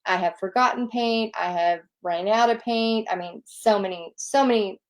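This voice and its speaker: A woman's voice: medium pitch, normal speed, poor audio. A woman with a Southern US accent, a paint party instructor chatting casually as she answers viewer questions in an upbeat, encouraging way. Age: adult, nearly two decades of experience.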